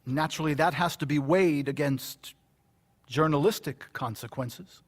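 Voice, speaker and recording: An actor playing a cautious newspaper executive in a radio drama, speaking formally and deliberately. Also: male voice, fairly high pitch, close to an everyday voice. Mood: grave, reluctant. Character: cautious, measured male newspaper executive adviser.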